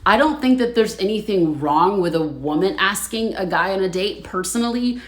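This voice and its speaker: A woman's voice: medium pitch, expressive, moderate pace, roomy sound. A woman hosting a casual livestream, chatting with viewers, laughing often and reading their comments aloud. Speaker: livestream host.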